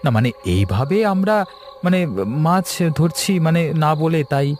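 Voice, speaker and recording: Man acting an excitable young city man, swooping pitch. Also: slow pace, a little hiss or hum. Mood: curious. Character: confident young city man, eager, excitable, easily startled. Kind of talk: cartoon story acting.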